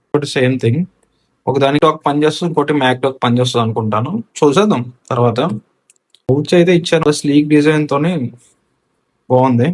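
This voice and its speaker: A man's voice: a little high, a little mellow. A man with a Telugu accent giving a casual hands-on product walkthrough as a gear reviewer, mixing in English technical words. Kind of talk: casual unboxing and installation walkthrough.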